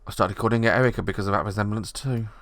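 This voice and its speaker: Low-voiced man reading game narration. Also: racing, soft background music. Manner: steady reading with small slips. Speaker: narrator reading a visual novel.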